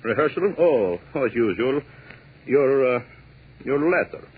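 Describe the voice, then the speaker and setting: An actor playing a brooding, jealous husband in 1940s radio drama, halting and clipped, with stiff cold politeness. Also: male voice, medium pitch, expressive, lightly acted. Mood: strained politeness. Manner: halting, clipped, repeats words. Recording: dull muffled 1940s radio broadcast, faint noise.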